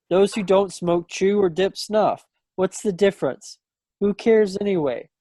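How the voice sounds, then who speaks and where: A man's voice: high-pitched, darkish tone. A man playing an earnest, stubborn young soldier in love, in an amateur play read over a video call.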